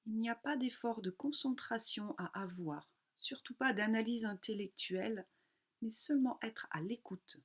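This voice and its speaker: A woman teaching yoga nidra, guiding a relaxation meditation calmly in short, unhurried phrases with pauses. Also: a little high, husky.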